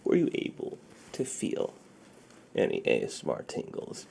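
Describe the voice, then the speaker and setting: A man playing a friendly, secretive underground ASMR dealer in a soft, breathy, half-whispered roleplay voice. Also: slight background noise, close to an everyday voice. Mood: hushed, reassuring. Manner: slow, hushed, conversational.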